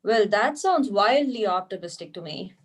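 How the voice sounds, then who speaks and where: A woman's voice: medium pitch, expressive, normal speed, natural voice. A woman playing a wry, guarded fiancée in a scripted table read, with a dry, skeptical delivery. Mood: wary. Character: wry, guarded young woman engaged to a spy.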